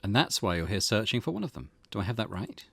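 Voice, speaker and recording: A man's voice: on the low side, sing-song, quick pace, vocal fry, a bit bright, slightly rough, no echo, pitched below the performer's own voice, full character voice. A man reading the part of an earnest, polite young man, considerate and full of questions, in a visual novel. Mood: thoughtful. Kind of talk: visual novel character read-aloud.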